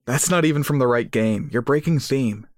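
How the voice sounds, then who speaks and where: A woman's voice: rumbling low, normal speed, a little mellow, dry recording, pitched below the performer's own voice. A woman voicing a mocking young man with a dry, taunting manner in an audiobook. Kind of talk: audiobook character dialogue.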